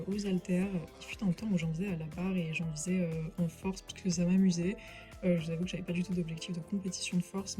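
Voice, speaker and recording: A young woman talks her viewers through her student week in an easy, rambling way, full of little pauses and fillers. Her voice sits a bit low and sounds soft and mellow. Faint music runs behind her. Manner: relaxed and chatty, many "euh" fillers. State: stuffy with a cold. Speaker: vlogger, finance master's student. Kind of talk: casual vlog narration.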